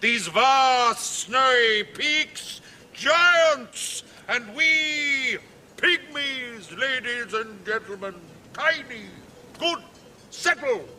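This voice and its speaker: An actor playing a grandiose elderly Dutch planter who declaims in broken, unfinished phrases, in a radio drama. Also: male voice, very high voice, expressive, very slow, sharp tone, slight background noise, heavily acted. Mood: grandiose, expansive. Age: 60s.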